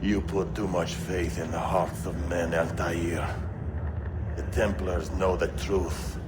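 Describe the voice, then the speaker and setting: An actor playing a cynical, proud traitor in a video game, deliberate and contemptuous. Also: male voice, sits low, level tone, heavy background noise. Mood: scornful, bitter. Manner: slow, scornful, deliberate. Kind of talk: video game cutscene acting. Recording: loud music behind the voice. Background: loud game score.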